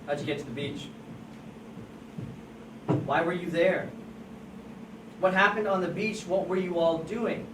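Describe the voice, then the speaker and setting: A performer at an amateur table read playing an agitated police officer who presses suspects with halting, repeated questions. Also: medium pitch, close to an everyday voice. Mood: agitated, demanding. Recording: distant, echoey room, noisy.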